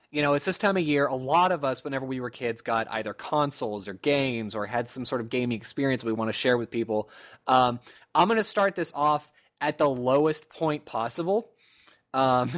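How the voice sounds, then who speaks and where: Young man, podcast co-host, casual rambling chat, mid pitch, laughs a lot. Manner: rambling storyteller, laughs often, many fillers.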